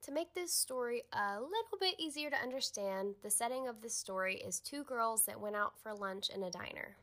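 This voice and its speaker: A woman's voice: a little high, lively intonation, normal speed, a bit bright. A woman hosting a podcast, delivering a scripted introduction to the listeners. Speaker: podcast host.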